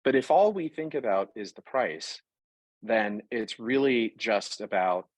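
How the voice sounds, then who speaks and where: A man being interviewed about his work in fashion, talking in a lively, conversational way and working through examples with frequent restarts. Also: on the low side, unhurried, dry recording. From Puerto Rico. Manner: animated, talks through examples with self-corrections. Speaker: interview guest, fashion product executive.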